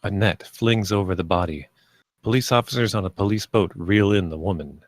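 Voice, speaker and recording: A man giving scripted narration, reading screenplay stage directions in a plain, measured manner. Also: sits low.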